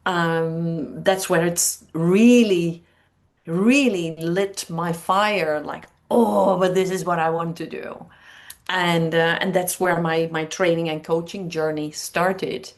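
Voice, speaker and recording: Slow-spoken Dutch woman in her fifties. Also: fairly low pitch, steady tone. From Netherlands. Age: 50s. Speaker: interview guest, training coach. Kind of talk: reflective conversational interview answers.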